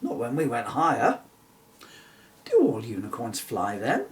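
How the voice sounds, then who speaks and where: Storybook little girl, played by a man: polite, curious, excitable, swooping wildly in pitch. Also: a little slow, distant mic. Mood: curious, teasing. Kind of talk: children's storybook character reading.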